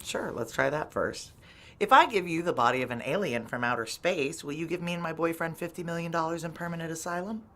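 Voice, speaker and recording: A woman's voice: low-pitched, brisk, a bit bright. A woman playing a tough, guarded ex-Army Ranger in a noir audio drama, with a blunt, terse, hard-boiled delivery. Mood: businesslike. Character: tough, guarded female ex-Army Ranger leader plotting a heist. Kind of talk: noir audio drama, first-person narration and dialogue.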